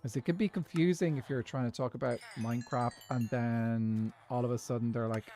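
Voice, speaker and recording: A man's voice: mid-range voice, fairly even intonation, fairly quick, dark tone. A young Irish man chatting casually and at length while he plays a game, answering chat as he goes. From Ireland. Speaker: streamer. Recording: faint music in background. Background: faint background music.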